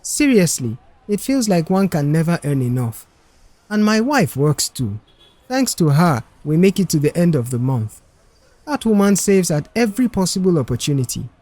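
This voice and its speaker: A man's voice: fairly high pitch, sing-song, normal speed, darkish tone. A man voicing a weary, chatty middle-aged male mechanic and proud family man in an audiobook, talking earnestly. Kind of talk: audiobook character dialogue.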